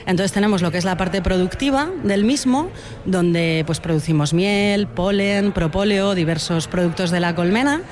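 A woman's voice: fairly low pitch, normal speed, darkish tone. A woman speaks earnestly about her work as a beekeeper and educator. She talks in a thoughtful, searching way and often asks "right?" as she goes. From Spain (Huesca, Aragón). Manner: thoughtful, earnest, frequent tag questions. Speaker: beekeeper and environmental educator, interviewee. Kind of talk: radio interview answers.

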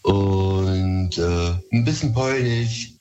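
Man from berlin, turkish first language, slow halting caller, low voice, muffled lo-fi phone sound. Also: darkish tone. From Berlin, Germany. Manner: hesitant, many fillers, a bit nervous. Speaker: radio caller, DJ. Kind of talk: phone-in chat.

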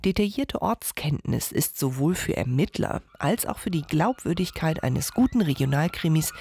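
A woman reporter reading a scripted radio feature in a lively, measured way. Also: low voice, vocal fry, slight background noise.

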